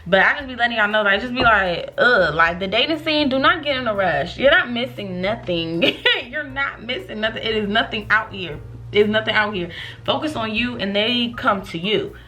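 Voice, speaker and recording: A woman in her late twenties telling a storytime to her viewers in an animated, chatty way, calling them y'all. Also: a little high, moderate pace. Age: 20s. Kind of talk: casual storytime vlog.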